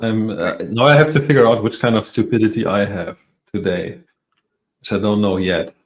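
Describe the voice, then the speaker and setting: A man's voice: lowish voice, normal speed. A man from Europe, a native German speaker, talking as a guest in a casual interview, hesitant with self-deprecating laughs.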